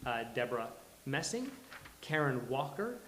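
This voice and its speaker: A man hosting a live table read, announcing and reading stage directions in a halting, deliberate way. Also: medium pitch, big pitch swings, a bit bright, slight background noise. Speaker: host and stage-direction reader. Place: live venue with audience. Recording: echoey live venue.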